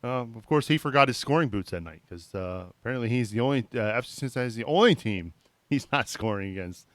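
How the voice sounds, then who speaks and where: A man hosting a sports podcast, jokey and quick to wrap up segments. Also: mid-range voice, no echo. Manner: jokey, lively, wraps up segments. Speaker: podcast host. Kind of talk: casual chat.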